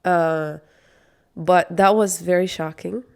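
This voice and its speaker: Young woman, native Arabic speaker, smooth clear voice, a bit low-pitched, chatty and eager podcast co-host. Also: fairly even intonation, takes it slowly. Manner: chatty, enthusiastic, many fillers and restarts. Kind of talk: casual chat.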